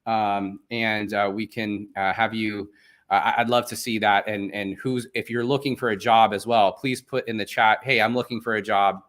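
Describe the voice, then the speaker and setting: A man's voice: fairly low pitch, steady tone, normal speed, a bit bright. A man from Venezuela, a native Spanish speaker, hosting a live online event in a chatty, upbeat way with many fillers. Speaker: host.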